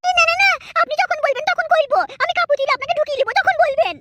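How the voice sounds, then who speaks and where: A scheming, lustful village woman from a cartoon, with a piercing, squeaky voice pitched very high. She chatters quickly and pleads. A loud noise hangs behind the recording. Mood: eager. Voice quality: shrill, squeaky. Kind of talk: adult cartoon comedy acting.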